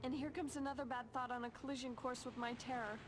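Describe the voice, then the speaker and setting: Woman as a highish, nerdy American teen girl. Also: steady tone, fast talker, lightly acted. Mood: nervous. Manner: rambling, quirky, anxious. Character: nervous, nerdy, rambling teenage girl sidekick. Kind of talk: video game voice acting. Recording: loud music over the voice.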